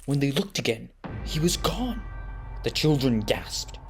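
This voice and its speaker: A man narrates a storybook audio drama in scripted prose. His voice has a medium pitch, with music clearly playing underneath. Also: big pitch swings, a little slow.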